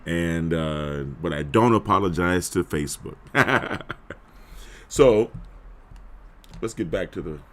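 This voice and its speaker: A man with a very low, hoarse voice chats loosely on a live stream, rambling a little and clearing his throat often. His talk is relaxed and unhurried. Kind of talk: casual live stream chat.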